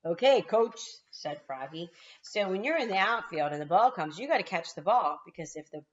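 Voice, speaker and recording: A woman's voice: lowish voice, animated, brisk, a bit bright. A woman kindergarten teacher reading a storybook aloud, with explanatory asides to young children, on slightly lo-fi audio.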